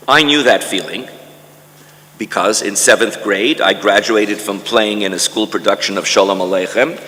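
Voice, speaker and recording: A man's voice: fairly low pitch, bright, forceful tone. Older man lecturing in a reverberant hall. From Canada. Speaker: guest lecturer reading a memoir. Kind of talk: scripted autobiographical lecture. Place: large indoor conference hall. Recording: echoey hall with long reverb.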